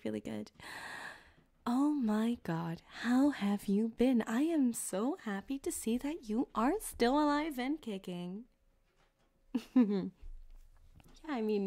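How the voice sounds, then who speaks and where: Woman acting a soft, slow, flirty cyberpunk back-alley surgeon woman. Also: mid-range voice, no echo. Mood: giddy, teasing. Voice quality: soft, breathy, often slipping into whispers. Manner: teasing, unhurried, intimate, giggly. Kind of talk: ASMR roleplay. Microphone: close binaural microphone, dry.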